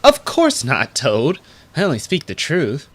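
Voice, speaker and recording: A man's voice: medium pitch, huge pitch swings, a little slow, voice pushed up, heavily acted. A man voicing a touchy old female railway coach. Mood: sulky. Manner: sharp, resentful, then apologetic. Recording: music clearly behind.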